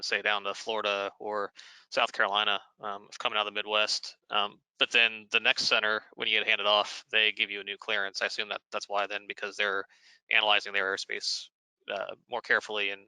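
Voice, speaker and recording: An American man hosts a conversational Q&A in a very bright, clean and crisp voice. His pitch stays fairly even as he builds detailed questions in a measured way. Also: dry recording, poor audio. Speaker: host interviewer. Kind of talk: conversational moderated Q&A.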